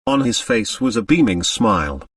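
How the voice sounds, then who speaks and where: A man's voice: on the low side, huge pitch swings, normal speed, creaky, fairly bright tone, dry recording, studio quality, distant mic. A man reading scripted literary narration as an audiobook narrator.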